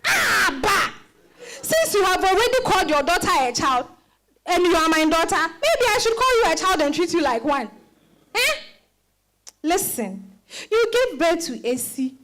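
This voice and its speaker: A woman playing a sharp-tongued old grandmother with a Ghanaian accent in a dramatized reading, scolding imperiously with many interjections. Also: extremely high pitch, very animated, moderate pace, a little hiss or hum, heavily acted, rough recording. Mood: scolding, exasperated.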